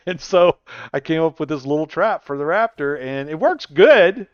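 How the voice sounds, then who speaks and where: A man's voice: on the high side, expressive, a little slow, clean tone, dry recording. A man in his sixties chatting casually on a gaming live stream, rambling and laughing often as he answers viewers. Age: 60s. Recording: dull lo-fi stream audio.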